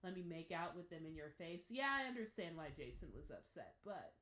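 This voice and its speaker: A woman giving a casual, expressive spoken reaction and review, emphatic with thoughtful pauses. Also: fairly low pitch. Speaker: reaction show host. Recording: echoey room.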